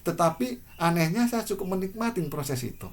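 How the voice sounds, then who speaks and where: A man in his forties from Indonesia, speaking to camera in a measured, earnest and reflective personal monologue. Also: high voice, sing-song. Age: 40s. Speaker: vlogger.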